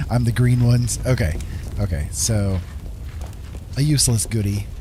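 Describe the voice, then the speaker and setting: A man's voice: medium pitch, slow pace, a little hiss or hum. A middle-aged man giving casual, easygoing live gameplay commentary, thinking aloud as he chats with viewers. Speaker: game streamer. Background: loud game music.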